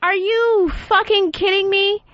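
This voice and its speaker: A woman's voice: very high voice, fairly even intonation, measured pace, no room sound, muffled recording. A woman hosting a radio call-in show with casual, profane, brash and blunt ranting talk.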